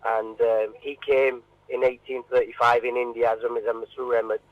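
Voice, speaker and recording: A man answering informally in a radio interview, halting and often repeating his words. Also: medium pitch, flat delivery, dry recording, phone-quality sound.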